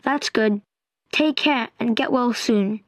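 A woman voicing a friendly schoolboy calling his sick friend, with clear, careful delivery in a textbook dialogue. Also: a little high, slow, dry recording, clean studio sound. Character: friendly young schoolboy Binbin.

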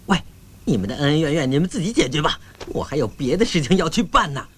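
An actor playing a glib, boastful young bridegroom in a comic costume drama, slurring, stammering and wheedling. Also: male voice, fairly high pitch, sing-song, fast, a crowd in the background. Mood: exasperated.

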